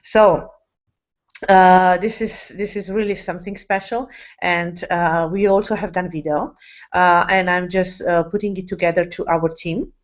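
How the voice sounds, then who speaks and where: A woman speaking English as a second language presents to her sales team with eager enthusiasm. She pauses often on fillers and restarts her sentences. Her voice sits low, and the sound is muffled and lo-fi, like an online call. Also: fairly even intonation. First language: not English. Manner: hesitant, many fillers and restarts. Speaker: network marketing presenter.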